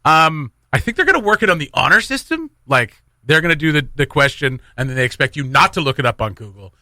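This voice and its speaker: A man's voice: a little high, very animated, quick pace, bright, forceful tone, no echo. A man hosting a morning radio show, chatting casually and jokingly, rambling loosely between community announcements.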